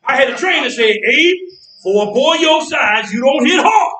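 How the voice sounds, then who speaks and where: Actor as a high-voiced, boastful older American barber. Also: male voice, moderate pace, exaggerated voice. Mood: boastful, emphatic. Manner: folksy, animated, repeats phrases for emphasis. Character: boastful, teasing older barbershop owner and former boxer. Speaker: stage actor. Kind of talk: stage play dialogue. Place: indoor theatre stage, picked up from afar. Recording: echoey stage hall, distant microphone.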